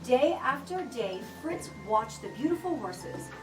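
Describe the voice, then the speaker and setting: A woman reading a children's picture book aloud with expressive, deliberate storytelling delivery. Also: high voice. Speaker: storybook reader. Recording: roomy echo, distant mic, music behind.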